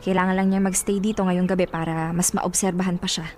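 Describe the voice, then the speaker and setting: A woman's voice: fairly low pitch, flat delivery, quick pace, darkish tone, faint music behind, lightly acted. An actress playing a friendly, insistent, playful young woman in a radio drama, breezy and casual, with a Filipino accent. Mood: helpful, insistent.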